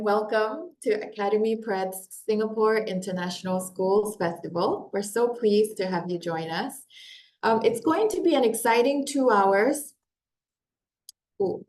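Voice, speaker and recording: A woman's voice: medium pitch, lively intonation, normal speed, a little mellow. A woman in her forties, born in Korea, hosting a live webinar with an upbeat, welcoming manner and frequent fillers like "um" and "uh". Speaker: webinar host and company founder. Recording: echoey room.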